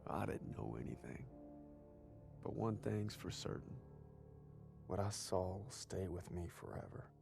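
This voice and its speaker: Gravelly, earnest young American soldier. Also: male voice, sits low, animated, measured pace, pauses often, music in the background, full character voice. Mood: sombre, earnest. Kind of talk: video game cutscene acting and narration.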